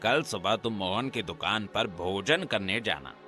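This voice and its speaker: चालबाज़ गाँव का पंडित, तेज़ खनकती आवाज़ पुरुष कलाकार. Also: male voice, on the low side, expressive, measured pace, sharp tone, faint music behind. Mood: scheming. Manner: theatrical, scheming, muttering interjections. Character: scheming, boastful village pandit villain, male. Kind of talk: Hindi cartoon story acting.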